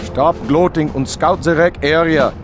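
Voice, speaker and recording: A man's voice: a little high, fairly even intonation, measured pace, over music, close to an everyday voice. Man as a curt German army commander. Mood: stern. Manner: curt, commanding military radio talk. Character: stern German army commander in World War II. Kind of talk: video game cutscene acting.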